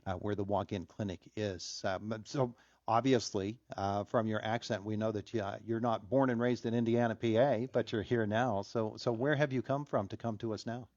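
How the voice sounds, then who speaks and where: A man's voice: lowish voice, fairly even intonation, moderate pace, darkish tone, no echo, muffled recording. A man hosting a local morning radio interview, chatting easily and affirming his guest often. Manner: conversational, frequent affirming murmurs. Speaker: radio host.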